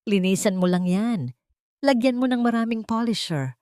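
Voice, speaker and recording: A woman's voice: fairly high pitch, animated, moderate pace, darkish tone, clean tone, dry recording, clean studio sound, pitched above the performer's own voice, close to an everyday voice. A woman voicing a vain, scheming, dismissive mistress with a bossy, haughty manner in an audio drama.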